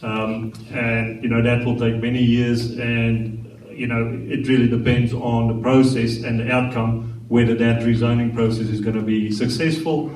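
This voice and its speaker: A man, a council planning director, explaining procedure in a measured way with frequent restarts. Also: mid-range voice, level tone, darkish tone. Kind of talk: formal explanation at a meeting. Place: council chamber. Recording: echoey chamber, distant mic, faint hiss.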